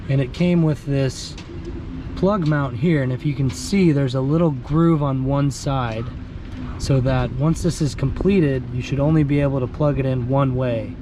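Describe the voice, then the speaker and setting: A man with a hoarse voice from a cold, casually explaining his boat's gear step by step with many pauses and ums. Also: medium pitch. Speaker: sailboat owner vlogger. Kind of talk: casual show-and-tell vlog. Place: outdoors on a sailboat in a marina, windy. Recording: windy outdoor camera audio. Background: wind and harbor noise.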